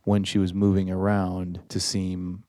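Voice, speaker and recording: Deep flat-voiced man reading trivia. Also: unhurried, no echo. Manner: dry, reads out film trivia. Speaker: podcast co-host. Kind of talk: casual chat.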